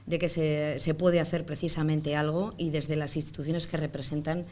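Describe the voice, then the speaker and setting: A woman moderating a round table, speaking in measured, wordy phrases that build piece by piece. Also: deep voice, little pitch movement, warm tone. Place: indoor round-table conference room. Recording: faint background noise.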